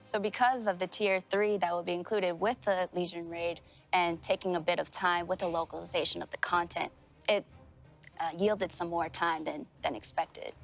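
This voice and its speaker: Gravelly-voiced woman interpreting formally. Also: fairly low pitch, steady tone, soft background music. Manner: measured, formal phrasing. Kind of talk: consecutive interpreting.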